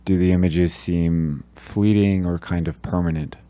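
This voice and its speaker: A man leading a guided meditation, giving gentle, calm instructions with long pauses between phrases. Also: low voice, smooth, no echo.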